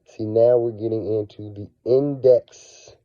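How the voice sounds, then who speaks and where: A man with a scratchy, raspy voice from a cold talks casually to his viewers about money. He drags his words out slowly, dropping to low murmurs and then rising in excited bursts. The sound is dry and close but somewhat dull, like a lo-fi home recording. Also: no echo. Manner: slow, drawn-out delivery that swings between low murmurs and excited bursts. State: hoarse from a cold. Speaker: finance vlogger. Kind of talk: casual video monologue.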